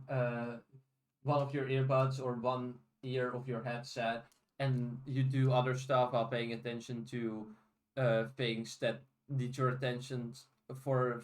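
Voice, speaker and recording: A man's voice: mid-range voice, echoey room, rough recording. A man co-hosting a podcast in casual conversation, often repeating words as he starts his sentences. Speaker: podcast co-host. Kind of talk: casual discussion of audiobooks.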